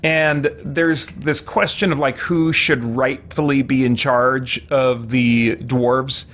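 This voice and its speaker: A man's voice: medium pitch, fairly even intonation, slow, fairly bright tone. A man giving casual, rambling, self-deprecating gameplay commentary as a streamer. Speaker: streamer, let's play host. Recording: music playing behind the voice. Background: game music.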